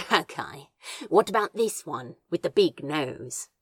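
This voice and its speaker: A woman playing a teasing, tender man in love in a fan audio drama, with a soft, casual, husky drawl. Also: low-pitched, animated, slow pace, no echo, studio quality, exaggerated voice. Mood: teasing, curious. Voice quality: husky, gravelly, breathy.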